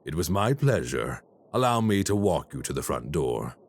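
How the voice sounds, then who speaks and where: A very deep-voiced polite crime boss. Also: male voice, big pitch swings, heavily acted. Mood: courteous. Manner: polite, measured, businesslike. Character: calm formal male crime boss debt holder. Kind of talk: audio roleplay acting.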